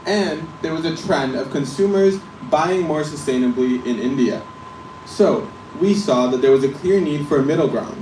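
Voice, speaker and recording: A young man from the Netherlands in his late teens gives a rehearsed business pitch, posing questions and then answering them. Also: expressive, normal speed, slight background noise. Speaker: student presenter. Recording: echoey room from a distance.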